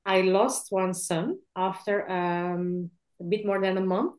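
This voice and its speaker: Belgian woman in her 40s, english, chatty and warm, asks "yeah?" a lot, video call. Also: medium pitch, slow. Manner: warm, lively storytelling, adds "yeah?". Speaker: guest speaker. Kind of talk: online interview, personal story.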